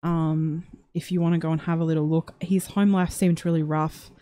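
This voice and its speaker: A woman's voice: low-pitched, level tone, moderate pace, mellow. A woman hosting a livestream in casual chat, reading out viewer comments and rambling with many fillers and restarts.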